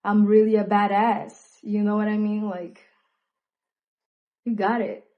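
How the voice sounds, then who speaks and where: A young woman with a Brazilian accent, native in Portuguese, talking openly and reflectively in a personal vlog, with frequent fillers and pauses. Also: mid-range voice, level tone, narrow, tinny audio. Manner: reflective, hesitant with many fillers. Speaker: vlogger. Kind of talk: candid personal monologue.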